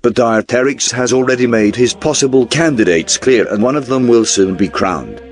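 A man reading scripted documentary narration in a formal, measured newsreel style. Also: medium pitch. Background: background music.

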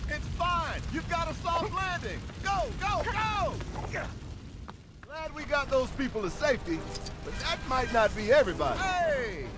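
An actor playing an exasperated, wisecracking superhero sidekick in a video game, sardonic and excitable. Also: male voice, very high voice, a little slow, very noisy, full character voice. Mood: excited. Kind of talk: animated video game cutscene acting. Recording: loud music behind the voice.

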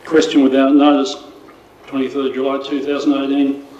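A man's voice: medium pitch, monotone, normal speed, a little mellow, highs cut off. Older man reading a pointed question. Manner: formal, pointed, reading aloud. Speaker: councillor asking a question. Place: council chamber, indoors. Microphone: desk microphone. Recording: echoey council chamber.

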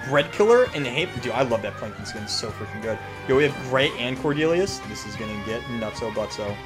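Animated young man gaming, music behind. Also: medium pitch, a little fast. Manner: excitable, profane, slangy, repeats phrases, bursts into yells. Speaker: gaming YouTuber. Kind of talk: casual gameplay commentary.

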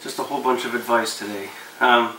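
A man in his thirties giving relaxed, rambling fishing advice to camera from his living room couch, with lots of fillers. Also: mid-range voice, fairly quick, echoey room, far from the mic, slight background noise, poor audio. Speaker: fishing vlogger.

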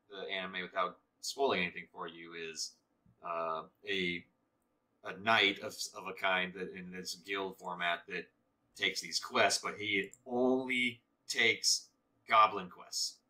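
A man co-hosting a gaming channel, chatting casually while he plays, with a slow, halting delivery full of restarts. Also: deep voice, pushed and bright, low-quality recording.

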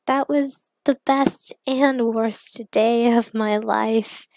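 A woman performs a young superheroine in an audio story. She speaks high and expressively, confiding with playful warmth and earnest worry. The sound is dry. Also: fairly even intonation, extremely slow, no echo. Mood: confiding, wistful.

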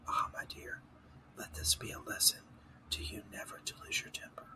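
A man's voice: moderate pace, distant mic, lightly acted, rough recording. A man whispering the lines of a preachy old mother crab in a storybook reading. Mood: moralizing.

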